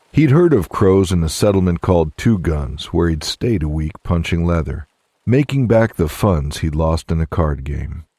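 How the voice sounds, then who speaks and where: Deep, mellow male audiobook narrator. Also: breathy edge. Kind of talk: scripted fiction narration.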